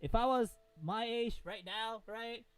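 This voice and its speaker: A man's voice: extremely high pitch, a little slow, clean tone. A man co-hosting a game playthrough, chatting and reacting in a slangy, casual way.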